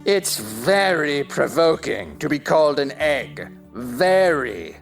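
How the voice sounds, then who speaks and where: Touchy egg creature, pompous, argumentative, wildly varied intonation, theatrical audio drama. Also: female voice, low voice, big pitch swings, slow, creaky, soft background music, exaggerated voice. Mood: indignant. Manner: pompous, haughty, exaggerated emphasis.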